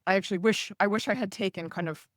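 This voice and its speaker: A podcast host whose first language is Russian, explaining in a measured, chatty way during a casual interview. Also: mid-range voice, no room sound, studio quality.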